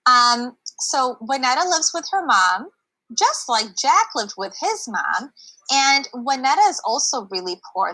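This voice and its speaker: Woman, children's teacher, bright forceful voice, encouraging, simple explanations, online story discussion. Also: a little high, low-quality recording.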